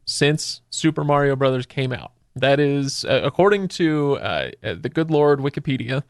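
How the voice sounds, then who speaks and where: A man's voice: mid-range voice, lively intonation, moderate pace, no echo, studio quality. A man hosting a casual film chat podcast, talking conversationally and putting questions to his co-hosts. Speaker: podcast host.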